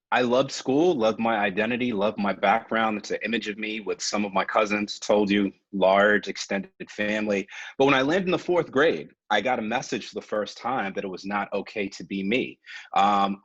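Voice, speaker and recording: Man, forties, reflective presenter, husky, lo-fi video-call audio. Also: on the low side, a bit bright, dry recording. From United States. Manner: earnest, reflective, with frequent um fillers. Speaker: webinar presenter. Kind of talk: personal storytelling presentation. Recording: dull, lo-fi webinar audio.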